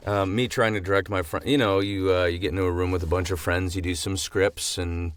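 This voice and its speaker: Deep-voiced man, halting and thoughtful. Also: steady tone, dry recording. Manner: halting, thinking aloud. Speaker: podcast host. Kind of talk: casual interview chat.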